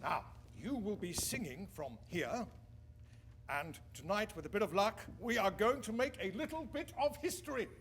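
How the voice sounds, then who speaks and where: A man playing a courteous, cautious 1920s radio engineer in a period radio drama, speaking in a measured, explanatory way. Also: sits high, lively intonation, fairly bright tone, slight background noise. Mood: courteous, welcoming.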